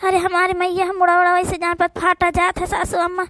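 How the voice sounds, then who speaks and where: Very high-pitched Awadhi woman, dry sound. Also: completely flat delivery, a little fast, fairly bright tone, no echo. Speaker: cartoon voice performer. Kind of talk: acted rural family drama for a cartoon.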